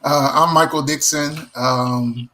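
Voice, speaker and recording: A man's voice: medium pitch, steady tone, slow, bright, forceful tone, a little hiss or hum. A man from Houston, Texas, chatting casually as an interview guest. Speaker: guest songwriter, producer and singer.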